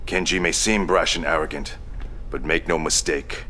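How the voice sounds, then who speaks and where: Very deep-voiced, stern Japanese ruler. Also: male voice, fairly even intonation, sharp tone, slight background noise. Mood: stern warning. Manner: measured, stern, formal. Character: proud, grave Japanese ruler warning an Allied commander. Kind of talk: dramatic video game cutscene briefing.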